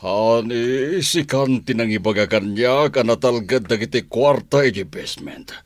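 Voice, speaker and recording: An actor with a Filipino accent playing a smug, scheming crime boss with his sweetheart in a radio drama, self-assured and expressive. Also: mid-range voice, unhurried, dry recording, lightly acted. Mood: reassuring.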